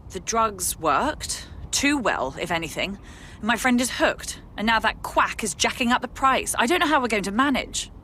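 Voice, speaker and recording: A woman's voice: fairly high pitch, expressive, moderate pace, bright, forceful tone, a little hiss or hum. A worried woman pleading for help. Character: anxious woman asking for help.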